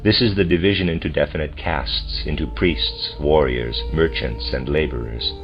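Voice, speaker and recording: A man with a low voice reads a lecture aloud in a measured, formal way. His phrasing is deliberate, as in an audiobook. The recording is dull and lo-fi, with music clearly playing behind him. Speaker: lecture reader. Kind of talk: audiobook-style reading of a translated lecture.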